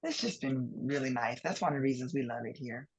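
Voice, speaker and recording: A woman in her thirties with a Southern US accent, a guest chatting casually on a video call from a room at home, laughing often and dropping to a soft voice. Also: a bass voice, a little fast, breathy edge. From Atlanta, Georgia. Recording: muffled video-call audio.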